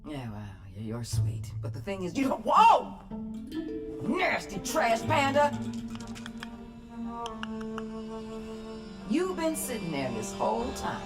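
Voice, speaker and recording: A sardonic, blunt female resistance fighter in a video game cutscene. Her pitch moves in a lively way and her phrasing is dry, folksy and teasing. Music is clearly present behind her. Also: unhurried. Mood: soft, resigned, then startled, irritated.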